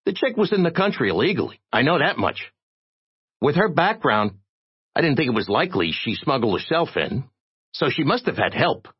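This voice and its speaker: Audiobook role: tiny crusading reporter, squeaky and husky, slangy, excitable, wildly swooping. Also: male voice, moderate pace, highs cut off. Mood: gossipy. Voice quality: squeaky, irritating little voice. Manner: streetwise slangy American talk. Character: tiny, eight-inch man, a frantic, streetwise crusading reporter.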